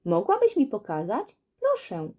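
A woman's voice: mid-range voice, huge pitch swings, unhurried, mellow. A woman voicing a sweet, innocent young girl in a children's fairy tale, with a naive manner. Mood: innocent pleading.

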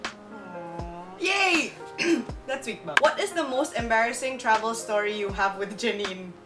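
A woman's voice: medium pitch, expressive, a little slow. A young woman hosting a casual vlog game who giggles often and reads questions aloud, filmed in a small pink room by a distant camera. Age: young adult. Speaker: vlogger host. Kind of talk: casual chat. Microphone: far camera. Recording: roomy and distant with music. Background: background music.

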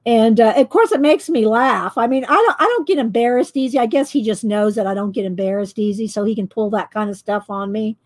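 A woman, chatting loudly while she paints and tells stories on her coloring livestream, laughing often and answering viewers. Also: on the high side, brisk, hardly any pauses. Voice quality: loud voice. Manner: chatty storyteller, laughs often, reads live chat. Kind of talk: casual chat while painting. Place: home craft table, indoors.

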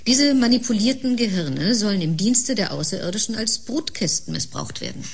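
A woman playing an assertive, cool scientist in a sci-fi radio play, lecturing in a deliberate, pointed way. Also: medium pitch, lively intonation, vocal fry, slight background noise. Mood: explaining, insistent. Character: assertive female scientist, cool and insistent expert. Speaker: actor in a sci-fi audio drama.

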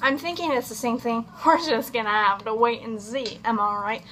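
A young adult woman giving a casual, comedic cooking tutorial in a home kitchen, with playful asides and drawn-out words. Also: on the high side, a bit bright, low-quality recording. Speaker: host of a home cooking video. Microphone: camera on counter at about arm's length. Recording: background kitchen noise, clicks.

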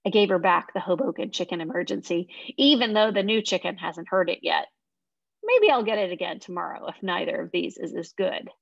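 A woman's voice: medium pitch, dry recording. A young adult woman reading a children's novel aloud, expressively, into a webcam at home. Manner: expressive reading in a young girl's first-person voice. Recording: dry, slightly muffled lo-fi sound.

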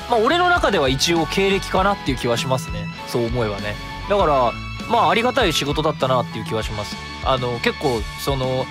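A man hosting a solo radio show in casual talk, chatty and rambling, thinking aloud and correcting himself as he goes. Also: on the high side. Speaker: radio host. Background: background music.

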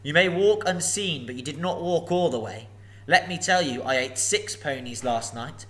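A man voicing a sly, menacing old male dragon, slow and mocking. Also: fairly high pitch, a bit bright.

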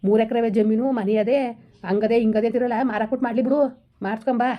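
A woman's voice: a little high, fairly even intonation, quick pace, highs cut off, natural voice. A woman voicing a stern, angry husband who harshly scolds and threatens his wife. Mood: angry scolding.

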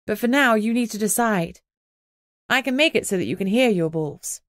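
A woman voicing a brisk, resolute female alpha werewolf in an audiobook, firm and instructing. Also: mid-range voice, lively intonation, dry recording, clean studio sound, close to an everyday voice. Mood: commanding.

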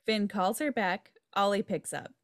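A woman's voice: medium pitch, unhurried. Woman reading stage directions. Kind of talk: scripted narration at a table read. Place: indoor room, video call. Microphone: video call microphone.

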